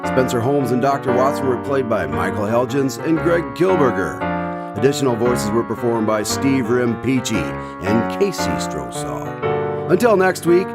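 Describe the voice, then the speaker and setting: A man hosts and announces a radio drama over clear background music. His middle-range voice moves about animatedly as he delivers scripted banter and credits. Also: mid-range voice. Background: music under the voice.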